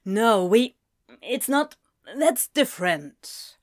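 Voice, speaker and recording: Audiobook role: tense young male student played by a woman, short-fused and blunt, slow, weighted delivery. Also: a little high, big pitch swings, no room sound, clean studio sound. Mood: confused, flustered. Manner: clipped, blunt, snapping.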